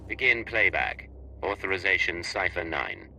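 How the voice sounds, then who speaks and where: An actor playing a cold, commanding male intelligence agent in a video game, speaking in a gravelly, clipped way. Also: sits low, big pitch swings, moderate pace, full character voice, rough recording. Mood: cool, businesslike. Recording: music behind the voice.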